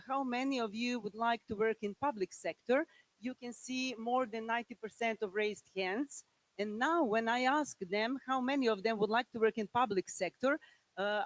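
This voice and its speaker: A woman's voice: a little high, poor audio. A woman professor from Serbia with a Serbian accent, presenting on a conference panel and often searching for words. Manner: halting, searching for words in a second language.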